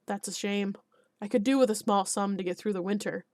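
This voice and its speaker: Curt monster hunter, a man of few words, flat and grim; a woman voices him lightly. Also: medium pitch, brisk, no echo, studio quality, close to an everyday voice. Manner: terse, flat.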